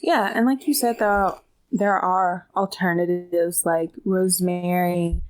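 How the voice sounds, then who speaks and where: A woman's voice: lowish voice, fairly even intonation, a little slow, soft background music. A woman co-hosting a podcast, chatting casually and conversationally. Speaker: podcast co-host. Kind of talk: casual chat.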